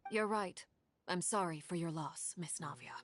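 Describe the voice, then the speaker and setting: A woman playing a formidable, composed commander who speaks formally and deliberately in a video game. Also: fairly low pitch, over music, lightly acted. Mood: regretful. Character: composed, formidable female commander of a powerful organization.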